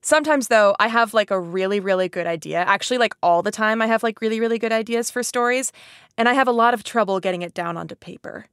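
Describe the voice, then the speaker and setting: A woman's voice: fairly high pitch, fast, fairly bright tone, clear, resonant tone, no echo, clean studio sound. A woman hosting a podcast in a casual, chatty way, playful and self-deprecating, often saying "like". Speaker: podcast host.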